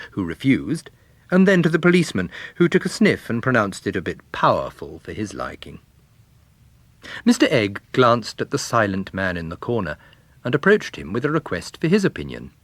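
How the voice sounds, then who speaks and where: A man's voice: mid-range voice, no room sound. A man giving a scripted narration of a detective story as an audiobook narrator.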